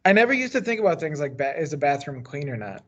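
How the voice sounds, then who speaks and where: Higher-pitched American man reading aloud, slightly muffled. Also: big pitch swings, fast, a bit bright. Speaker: teacher reading a book aloud. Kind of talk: read-aloud storytelling of a children's novel. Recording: dull, lo-fi sound.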